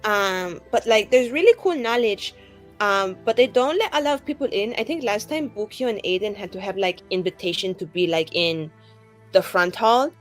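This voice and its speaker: A woman's voice: mid-range voice, normal speed, soft background music. A woman playing in a tabletop role-playing game, bantering casually in character with a chatty, slangy, playful manner.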